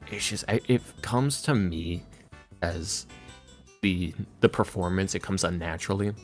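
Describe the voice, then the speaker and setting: Low voiced guy on a chill podcast, kinda halting and self-deprecating, soft music behind him. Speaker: interview guest, ASMR roleplay creator. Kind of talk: casual podcast interview.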